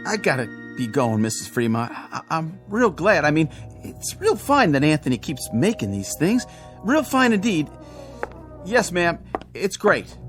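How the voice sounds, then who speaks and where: A nervous small-town delivery man. He stammers and placates at every turn, and his pitch swings widely. There is faint music behind him. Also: sing-song, unhurried. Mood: uneasy. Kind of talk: audio drama acting.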